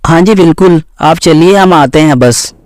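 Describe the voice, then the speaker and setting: A man's voice: fairly high pitch, moderate pace, no echo, close to an everyday voice. A man voicing an obliging, easygoing young husband in a cartoon family story, polite and placating. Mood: apologetic.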